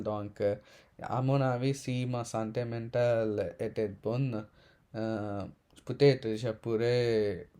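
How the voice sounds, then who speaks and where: A man in his twenties from India, a Telugu speaker, talking in halting learner's French with many pauses and self-corrections. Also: fairly low pitch, darkish tone. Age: 20s. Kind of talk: casual unscripted monologue.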